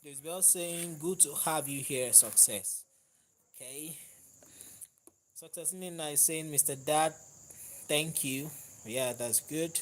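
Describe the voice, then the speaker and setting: A man's voice: on the high side, slow, pauses often, slight background noise. Nigerian man chatting on a live stream. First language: Yoruba. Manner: conversational, warm thanks to viewers, laughs easily. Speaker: livestream host. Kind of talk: casual live chat, reading viewer comments.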